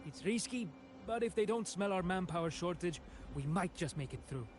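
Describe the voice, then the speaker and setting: A man's voice: sits high, lively intonation, normal speed, faint music behind. A man playing a hot-blooded young mafia member in a video game, urgent, insistent and challenging.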